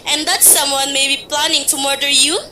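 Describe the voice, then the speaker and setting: A woman's voice: high voice, flat delivery, bright, forceful tone. A woman playing a curt, busy male police sergeant who brushes off a caller in an amateur radio play. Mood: dismissive. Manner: brisk and dismissive. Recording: echoey, distant, noisy amateur recording.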